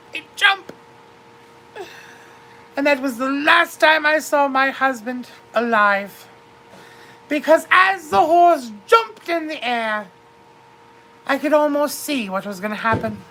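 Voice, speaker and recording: A woman's voice: high voice, pushed and bright, low-quality recording. A woman telling a comic story in a theatrical, grandly confiding way, calling her listeners darlings.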